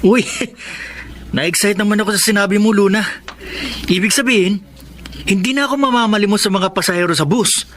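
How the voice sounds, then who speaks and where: A man's voice: sits high, moderate pace, long pauses, a bit bright, a little hiss or hum. An actor playing an eager, hopeful young man from a poor life in a radio drama. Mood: excited.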